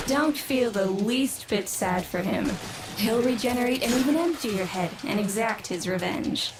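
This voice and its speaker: A woman's voice: on the high side, lively intonation, moderate pace, noisy background. A woman voicing a jaded, scornful scientist daughter in a video game, wry and condescending. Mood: dry, clinical. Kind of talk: scripted video game character acting.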